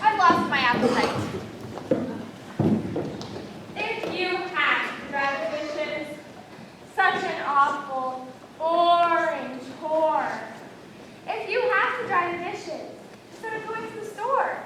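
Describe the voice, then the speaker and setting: A woman on stage playing a dramatic, needy child who recites comic verse in an exaggerated, drawn-out way. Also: extremely high pitch, lively intonation, exaggerated voice. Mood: dramatic, woeful. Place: large hall, school stage play. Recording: echoey noisy hall from far off.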